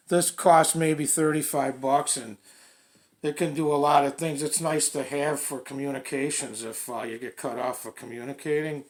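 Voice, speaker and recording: A man showing products casually and unscripted, in a plain, folksy, halting way. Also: fairly high pitch. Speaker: radio hobbyist presenting product reviews.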